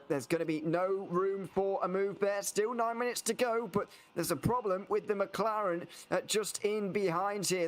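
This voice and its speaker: Male co-commentator, fairly high voice, hesitant, filler-heavy, race play-by-play. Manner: hesitant, many fillers before picking up pace.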